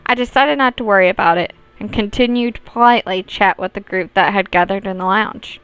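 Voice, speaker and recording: A woman's voice: medium pitch, brisk, a bit bright, clean tone, dry recording, rough recording. A woman reading a visual novel's narration and dialogue aloud as she plays through it. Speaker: gaming video host reading aloud.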